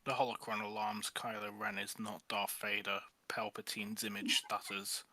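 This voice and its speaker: A man narrating a sci-fi script reading, delivering stage directions as deliberate storytelling. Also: lowish voice, flat delivery, bright, forceful tone, no echo.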